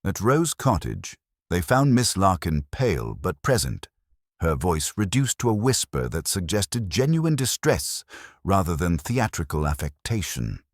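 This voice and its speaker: A very low-voiced man narrates a literary mystery. His delivery is formal and measured, with lively swings of intonation. The sound is dry and close. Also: dry recording. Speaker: audiobook narrator.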